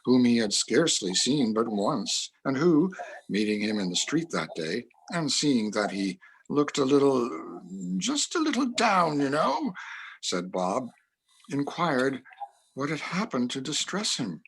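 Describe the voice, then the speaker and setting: A man's voice: medium pitch, very animated, a little slow, creaky, fairly bright tone, husky, low-quality recording. A man narrating a classic story as a community reader in a dramatic reading.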